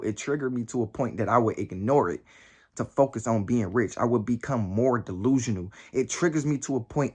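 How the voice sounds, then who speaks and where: A young man of nineteen talking straight to his viewers in a motivational vlog. He hammers the same phrases over and over, full of slang and cursing. His voice jumps up high and gets loud whenever he drives a point home. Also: husky. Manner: emphatic and repetitive, heavy slang and swearing; voice climbs high and loud when pressing a point. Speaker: motivational vlogger. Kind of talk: motivational talk to camera.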